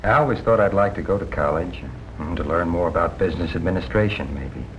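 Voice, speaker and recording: A man's voice: low voice, fast, background noise, natural voice. An actor playing an easygoing young American airman, narrating his story in a folksy, wry, conversational way. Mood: casual, reflective. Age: young adult. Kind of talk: first-person 1950s film narration. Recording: dull 1950s film soundtrack with music.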